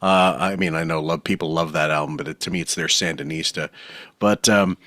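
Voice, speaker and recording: A man's voice: extremely low pitch, fairly even intonation, fairly quick, fairly bright tone, no echo. A man hosting a podcast, talking casually and rambling, with many fillers and laughs. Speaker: podcast host.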